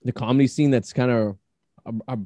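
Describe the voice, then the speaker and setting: A man's voice: mid-range voice, steady tone, brisk, warm tone, no echo. A man hosting a casual podcast, talking loosely with stumbling restarts and casual swearing. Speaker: podcast host.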